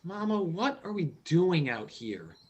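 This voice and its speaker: A man playing an earnest, anxious but insistent son in a radio play, with a husky, hesitant delivery. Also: a little high, big pitch swings, measured pace, a little mellow, lo-fi sound, heavily acted. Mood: nervous. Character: earnest, anxious, insistent child of a dead father.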